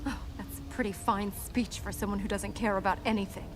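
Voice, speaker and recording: An actress playing a proud, devout young princess in a video game, speaking in a grave, formal, resolute way. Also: female voice, mid-range voice, steady tone, fairly quick, music in the background, close to an everyday voice. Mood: bitter. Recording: noisy game capture, loud effects behind.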